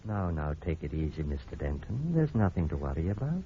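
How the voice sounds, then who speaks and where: An actor playing a controlled eye surgeon with a bitter streak, measured and authoritative in an old radio drama. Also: male voice, rumbling low, very animated, heavily acted. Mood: professional, soothing. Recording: muffled old radio recording with hiss.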